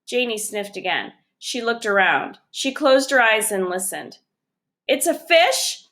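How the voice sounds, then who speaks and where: A woman reading a children's chapter book aloud, narrating it expressively and deliberately. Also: medium pitch, animated, a bit bright. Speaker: storybook reader.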